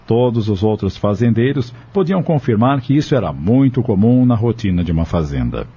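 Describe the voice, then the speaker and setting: Husky, low-voiced Brazilian male narrator. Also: lively intonation, fairly quick, dark tone. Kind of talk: scripted audio drama narration. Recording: dull, lo-fi audio.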